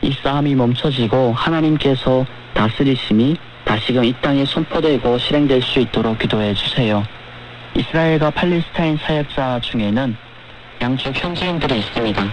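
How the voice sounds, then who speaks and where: Man on noisy shortwave radio. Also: medium pitch. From North Korea. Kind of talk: measured radio talk and letter reading.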